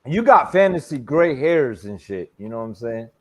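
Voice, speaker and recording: A man's voice: medium pitch, sing-song, normal speed, a little mellow. A man in his forties telling stories in a loose, laughing way, swearing freely in casual chat. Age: 40s.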